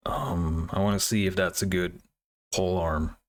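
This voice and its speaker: A man's voice: low voice, fairly even intonation, normal speed. A man hosting a reaction video, commenting casually and thinking aloud in an informal, easily amazed way.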